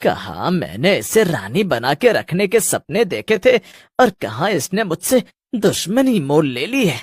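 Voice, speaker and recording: A woman puts on a young male village good-for-nothing for a Hindi cartoon. The voice is low and rough-edged, swooping widely as it wheedles and taunts. He sounds smarmy, spiteful and full of schemes. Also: big pitch swings, a little slow, no room sound, clean studio sound, heavily acted. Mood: bitter, scheming. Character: smarmy, spiteful young village loafer man, pestering suitor.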